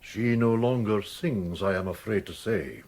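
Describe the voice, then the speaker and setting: An actor playing an anxious Victorian gentleman father in a period audio drama, speaking formally and hesitantly. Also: fairly low pitch, unhurried, close to an everyday voice. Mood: grave.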